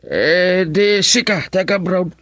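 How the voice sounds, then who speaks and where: A man's voice: high voice, slow pace, fairly bright tone, no echo, clean studio sound, full character voice. A man with an Ilocano accent playing an elderly father who reasons with his grown son in an emphatic, admonishing radio drama style. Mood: persuasive.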